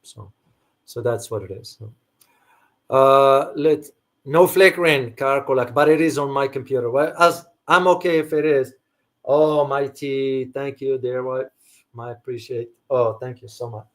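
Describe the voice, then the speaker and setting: A man's voice: medium pitch, measured pace, a bit bright. A middle-aged man, raised in Morocco with Arabic as a first language, hosting a casual livestream chat and answering viewers with rambling restarts. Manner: rambling, frequent restarts and fillers, thanks viewers by name. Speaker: host answering viewer questions.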